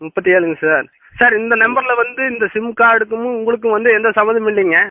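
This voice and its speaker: A man talking politely and rapidly on a phone call. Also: high voice, no echo.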